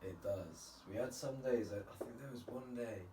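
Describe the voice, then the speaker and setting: A man's voice: medium pitch, moderate pace, dark tone, far from the mic, a little hiss or hum. A man who grew up in Spain, talking in easygoing, thoughtful casual chat and laughing often. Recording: roomy, held away from the mic.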